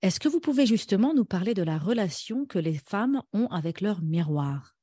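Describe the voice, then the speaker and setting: A woman's voice: medium pitch, very animated, moderate pace, dark tone, slightly rough, no room sound, clean studio sound. A woman interviewing a guest on a podcast in an attentive, conversational and approving manner.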